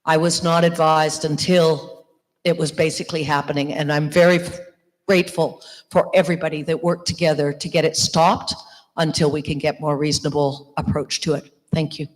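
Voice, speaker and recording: A woman candidate answering an audience question at a public meeting, speaking earnestly with some halting restarts. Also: deep voice, level tone. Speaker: election candidate. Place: church hall. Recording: long reverb of a hall.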